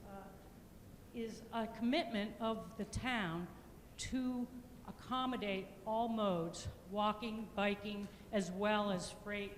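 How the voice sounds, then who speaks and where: Woman planning consultant, loud and halting with fillers, in an echoey public meeting room. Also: medium pitch. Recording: echoey meeting hall with faint noise.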